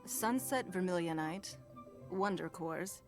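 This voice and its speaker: Rich shrewd game noblewoman, lowish voice, formal, measured, in charge, bit of music under it. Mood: composed, commanding. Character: poised, powerful, shrewd noblewoman and business magnate. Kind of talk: English video game voice acting. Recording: faint music behind the voice.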